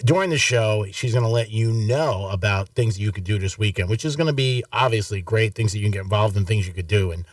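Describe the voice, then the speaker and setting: A man's voice: on the low side, fast, hardly any pauses, no room sound, recorded from a distance. A man co-hosting a radio show, joking around, laid-back and laughing often. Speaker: radio co-host. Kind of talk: joking morning radio banter. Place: radio studio.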